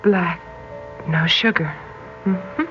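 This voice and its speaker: Gentle, dreamy young woman, muffled recording. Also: on the low side, very slow. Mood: kindly. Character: gentle twenty-three-year-old American woman, dreamy, uncannily knowing. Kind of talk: old radio drama acting. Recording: dull old radio recording, music behind.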